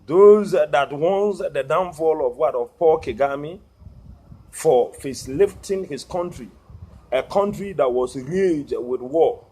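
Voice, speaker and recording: A Ghanaian man, a social media activist, talking to his viewers in a halting way, with many "uh" fillers, repeated words and a habit of asking "what" before the key word. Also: fairly high pitch, darkish tone, husky. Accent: Ghanaian English. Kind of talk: monologue addressed to viewers.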